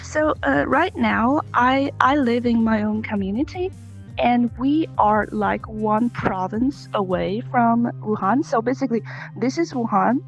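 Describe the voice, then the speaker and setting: A woman in her twenties from China speaks with a gravelly fry in her voice. She explains things step by step with small fillers. Faint music sits underneath. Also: fairly high pitch, measured pace, dull audio. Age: 20s. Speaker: guest. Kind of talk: casual discussion.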